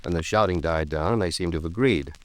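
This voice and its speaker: Fast-talking, low-voiced earnest attorney played by a man. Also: no echo, natural voice. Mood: earnest, concerned. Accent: American. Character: earnest, cautious male attorney, talkative and proper. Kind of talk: 1950s radio drama dialogue.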